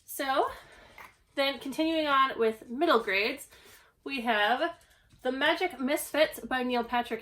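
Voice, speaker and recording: A young woman with a slightly hoarse voice, recovering from a cough, chatting casually at home about a stack of books. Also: sits high, pushed and bright. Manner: chatty, self-deprecating, rambling. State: hoarse, getting over a cough. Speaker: book channel host. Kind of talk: casual book haul vlog. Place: at home, indoors.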